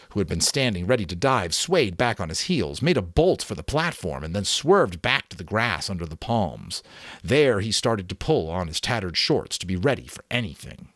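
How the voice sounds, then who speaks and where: A deep-voiced man reads a novel aloud in a dramatic audiobook manner. His low voice rises and falls freely, and his storytelling is expressive. The recording is close and dry. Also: no echo. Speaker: narrator.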